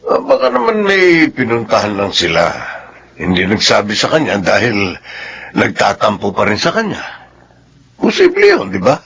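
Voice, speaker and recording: An actor playing an easygoing, good-humored Filipino husband in a radio drama. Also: male voice, lowish voice, wildly swooping pitch, moderate pace, gravelly, fairly bright tone, slight background noise, exaggerated voice. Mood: reassuring.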